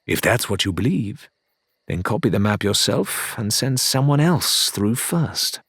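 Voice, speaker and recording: A man's voice: on the low side, very animated, a little slow, gravelly, fairly bright tone, breathy edge, dry recording, clean studio sound, full character voice. A man voicing a sly pirate turncoat who speaks amiably and persuasively, with a curt edge, in an audiobook. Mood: scoffing.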